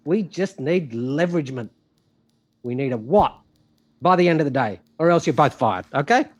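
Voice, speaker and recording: An actor playing a stern, demanding company boss in a theatrical audio musical, speaking in emphatic commands. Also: male voice, a little high, animated, moderate pace, close to an everyday voice.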